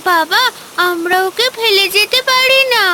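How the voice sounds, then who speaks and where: A woman voicing an earnest little village girl in an animated moral story, with simple, pleading speech. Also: very high voice, a little slow, slight background noise, exaggerated voice.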